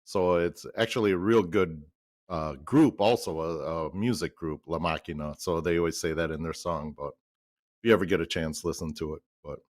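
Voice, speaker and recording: A man's voice: sits low, steady tone, moderate pace. A man in his fifties chatting easily as a co-commentator on a darts stream. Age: 50s. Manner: chatty, easygoing storyteller. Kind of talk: casual chat on a stream.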